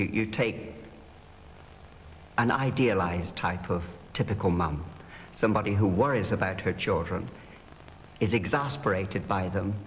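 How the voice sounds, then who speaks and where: A man speaking reflectively as a clergyman interviewed, in a thoughtful, measured and hesitant manner. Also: deep voice. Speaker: clergyman who plays pantomime dame. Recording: echoing hall, noisy old archive audio.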